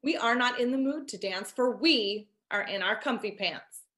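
A woman's voice: fairly high pitch, moderate pace, a bit bright, natural voice. A woman voicing a proud, defiant queen in a children's storybook, firm and scolding in a declamatory way. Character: proud, defiant adult queen who loves comfort. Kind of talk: children's storybook character reading.

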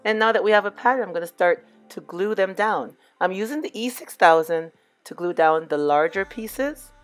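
A middle-aged woman with a hoarse voice, chatting casually as she walks viewers through a do-it-yourself crafts project. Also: mid-range voice, normal speed, faint music behind. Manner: relaxed, chatty, addresses viewers as "you guys". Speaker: DIY crafts vlogger. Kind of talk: casual crafting tutorial voice-over.

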